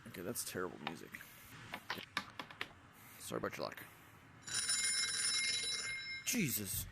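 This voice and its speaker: A man's voice: low-pitched, huge pitch swings, a little slow, vocal fry. A man giving casual, improvised gameplay commentary, sarcastic and prone to swearing. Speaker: gamer and reviewer. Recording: noisy gameplay capture.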